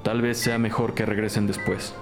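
An actor playing a protective hospital doctor in an audio drama, speaking in a measured, cautious way. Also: male voice, on the low side, little pitch movement, lightly acted. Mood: calm, concerned.

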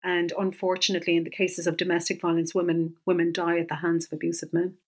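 A woman historian with an Irish accent answers questions in an interview. Her voice sits a little low, and she talks her way slowly toward each point, with many pauses and restarts. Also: level tone. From west of Ireland. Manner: hesitant, many fillers and restarts. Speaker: historian, author.

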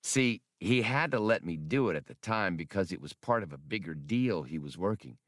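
A man's voice: sits low, expressive, moderate pace, dry recording. A male narrator plays a brash smuggler in a space adventure audiobook. He talks casually and bluntly, with cocky, streetwise confidence and a dry, close sound. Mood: guarded. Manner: brusque, casual.

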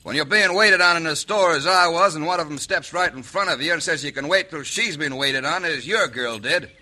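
Proud, piercing-voiced cowboy rancher. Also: male voice, on the high side, brisk, pushed and bright. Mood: defiant. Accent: American Western drawl. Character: plain-spoken proud cowboy rancher, stubborn and unafraid. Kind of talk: old-time radio western acting. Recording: old radio recording, faint noise.

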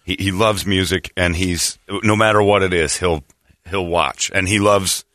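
A hoarse man hosting a morning radio show, rambling through a story with many restarts. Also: low-pitched, steady tone, a little slow, a bit bright, no room sound, studio quality. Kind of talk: casual morning show chat. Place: radio studio. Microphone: studio mic.

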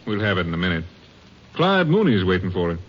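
Low-voiced American police captain. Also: male voice, very animated, fast talker. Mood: businesslike. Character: businesslike Texas Rangers captain, steady and brisk. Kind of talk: old-time radio police drama acting. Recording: dull, slightly noisy old radio recording.

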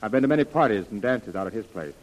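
Urbane major, acted by a man, earnest and insistent, very lively intonation, vintage 1930s radio drama. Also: fairly low pitch, fast, lo-fi sound, natural voice. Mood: assured, skeptical. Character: urbane, persuasive male army major, earnest and skeptical. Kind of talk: 1930s radio serial acting.

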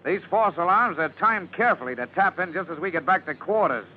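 A man playing a brisk, exasperated fire company lieutenant in an old-time radio drama, giving clipped, commanding lines. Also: sits high, animated. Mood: indignant, serious. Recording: dull, lightly noisy vintage radio recording.

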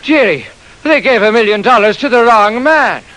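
A man playing an excitable, honest garbage collector in an old-time radio drama. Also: very high voice, normal speed, pitched above the performer's own voice. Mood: eager, anxious. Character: excitable honest Irish-American garbage collector turned millionaire. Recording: vintage radio recording.

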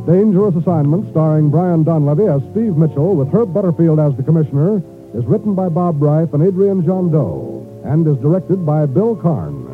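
Lively American 1950s radio announcer man. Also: on the high side, normal speed. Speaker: network announcer. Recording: muffled vintage radio, music behind.

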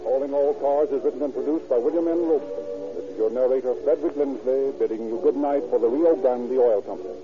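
Formal 1930s radio announcer, tinny. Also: male voice, medium pitch, fast, music in the background. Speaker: narrator and announcer. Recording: tinny old radio recording with faint hiss.

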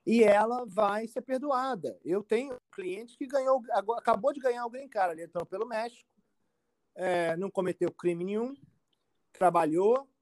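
A man with a Brazilian accent answering informally as an expert, halting and repetitive, often checking he is understood. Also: high-pitched, darkish tone, no echo. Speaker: immigration expert being interviewed. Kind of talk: informal explanatory interview answers.

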